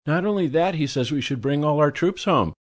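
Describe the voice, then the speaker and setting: A man's voice: fairly high pitch, lively intonation, fairly quick, pitched above the performer's own voice. A man voicing a pushy, gushing society woman who does volunteer work, talking in a breathless, fawning, emphatic way.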